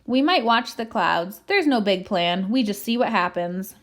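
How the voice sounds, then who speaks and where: A woman voicing a cheerful, smug, comfort-loving potato in an expressive, playfully dramatic picture book read-aloud. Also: mid-range voice, unhurried, natural voice. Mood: reflective, content.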